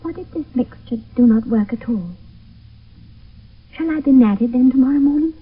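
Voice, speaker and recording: A woman's voice: high voice, normal speed, husky. An actress playing a frightened young noblewoman in an intense, theatrical 1970s radio Shakespeare style. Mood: fearful. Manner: intense, theatrical verse delivery. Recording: thin phone-like old radio, distant mic.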